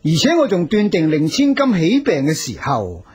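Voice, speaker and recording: An actor playing a self-assured old geomancer-healer in a Cantonese radio drama, husky and learned, with a Hong Kong accent and a measured, authoritative manner. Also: male voice, fairly high pitch, wildly swooping pitch, a little mellow, exaggerated voice. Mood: confident, instructing.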